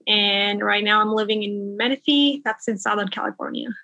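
A woman's voice: medium pitch, normal speed, creaky, sharp tone, no room sound, lo-fi sound. A young woman from Bangladesh, a nurse, chatting casually in an interview over a video call, with frequent fillers and light laughter. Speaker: nurse guest.

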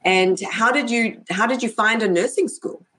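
A woman's voice: a little high, moderate pace, a bit bright. A woman hosting an online interview show, talking upbeat with frequent fillers. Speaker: host. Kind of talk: hosted webinar interview.

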